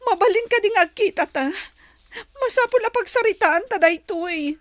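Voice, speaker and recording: A woman's voice: very high-pitched, lively intonation, moderate pace, dry recording, clean studio sound. A woman playing a heartbroken young woman in an Ilocano radio drama, speaking plaintively with a Filipino accent. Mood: pleading, upset. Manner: plaintive, emotional. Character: heartbroken young woman pleading with her boyfriend.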